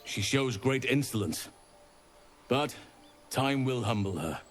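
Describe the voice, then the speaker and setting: An actor playing a solemn, authoritative archdruid in a fantasy video game, speaking in a measured, formal and stern way. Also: male voice, medium pitch, animated, soft background music. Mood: calm, grateful.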